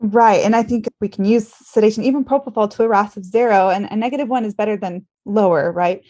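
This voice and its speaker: A woman speaks as a podcast host in a medical discussion. Her voice sits at an average pitch, and her delivery is earnest and emphatic. She builds her points through pointed rhetorical questions in a conversational way. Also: fast, warm tone. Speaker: podcast host and former travel nurse.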